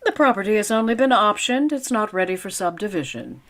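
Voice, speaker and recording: A woman acts a wry schoolteacher from an old-time radio comedy. Her voice swings up and down around a middling pitch, and she lands her sardonic comebacks drily. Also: expressive, fairly bright tone, lightly acted. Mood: dry, catty. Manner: sardonic, quick with dry comebacks. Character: wry, wisecracking female high school English teacher. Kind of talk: vintage radio comedy acting.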